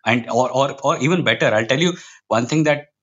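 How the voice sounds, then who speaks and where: A man's voice: medium pitch, a little fast, fairly bright tone, highs cut off. A man with an Indian accent speaking as a podcast guest in casual, opinionated conversation, with a wry, emphatic manner that tends to digress. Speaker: podcast guest, comic book writer.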